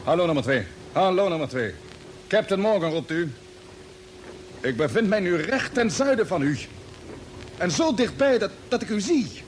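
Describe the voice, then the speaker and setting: An actor playing a brisk, decisive spaceship captain in a radio drama, issuing orders with urgency. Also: male voice, sits high, sing-song. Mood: concerned. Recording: old radio play, vehicle noise.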